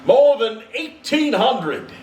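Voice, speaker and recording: A man's voice: very high voice, very animated, slow, a little mellow, dull audio, pitched above the performer's own voice, heavily acted. A man voicing a giant jovial ghost in a storybook reading, exclaiming and commanding in a hearty manner. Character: giant jovial ghost, a booming festive male spirit. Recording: roomy, distant mic, faint hiss.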